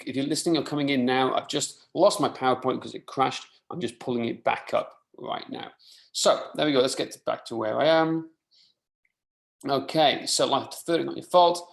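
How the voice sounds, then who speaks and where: Coach, roomy echoing sound. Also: male voice, medium pitch, vocal fry. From rural mid Wales. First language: Welsh. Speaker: fitness coach presenting a webinar. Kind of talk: informal live online presentation. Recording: roomy, echoing live webinar audio.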